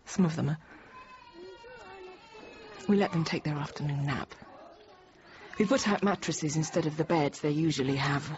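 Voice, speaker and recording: A woman playing a hushed, composed hostage teacher. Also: low voice, fairly even intonation, normal speed, pauses often, close to an everyday voice. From France. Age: 30s. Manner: quiet, controlled, often whispered. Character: young female teacher held hostage, composed but frightened. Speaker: narrator. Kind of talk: first-person dramatic narration. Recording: dull noisy radio drama recording.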